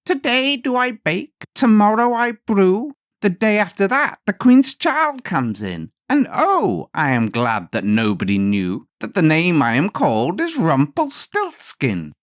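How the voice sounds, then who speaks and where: A man voicing a comical, gloating, quick-tempered little goblin man in a storybook tale, with theatrical, taunting delivery. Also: mid-range voice, wildly swooping pitch, no room sound, clean studio sound, voice pushed up. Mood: gleeful gloating. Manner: theatrical, exclaiming, drawn-out taunts.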